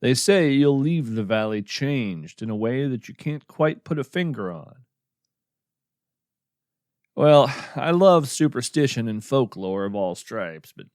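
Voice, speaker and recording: A man playing a wry, musing naturalist who hosts a radio show of the uncanny, narrating in a measured, contemplative, folksy way. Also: medium pitch, darkish tone, no room sound, clean studio sound, close to an everyday voice. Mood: wry, reflective. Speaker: podcast host and narrator. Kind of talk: scripted narration, reflective storytelling with poetry reading.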